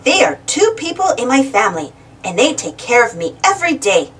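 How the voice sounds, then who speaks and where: A woman's voice: on the high side, very animated, brisk, fairly bright tone, recorded from a distance. A woman reading a storybook aloud to young children, expressively and addressing the listeners directly. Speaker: storybook reader.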